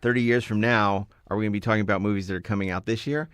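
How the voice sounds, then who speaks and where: Low-pitched middle-aged man hosting casual chat. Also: fairly even intonation, racing, a bit bright, clear, resonant tone, dry recording, clean studio sound. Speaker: host of a movie discussion show.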